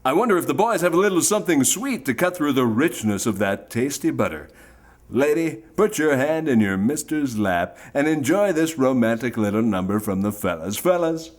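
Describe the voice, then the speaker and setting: A man delivers old-time variety show sponsor patter. His pitch is lively and swings freely, and his manner is that of a folksy, theatrical salesman addressing listeners directly. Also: moderate pace.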